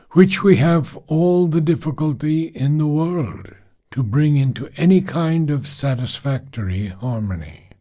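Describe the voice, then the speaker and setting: Slow, mellow man reading philosophy aloud. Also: mid-range voice. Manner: deliberate, solemn, with long pauses between phrases. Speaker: reader of a spiritual philosophy book. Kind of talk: measured audiobook-style reading.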